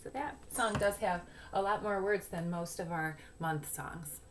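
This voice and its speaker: Slow-talking woman teaching young children. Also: lowish voice, music in the background. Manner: simple step-by-step explaining, sounding out phrases deliberately. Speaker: early primary school teacher. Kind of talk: instructional read-aloud lesson for young children.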